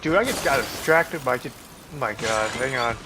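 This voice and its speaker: Rambling man streaming over loud game music. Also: medium pitch, lively intonation, rough recording. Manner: rambling, halting, slangy. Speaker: livestreamer playing a game. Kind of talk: casual reacting commentary. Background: game music and dialogue.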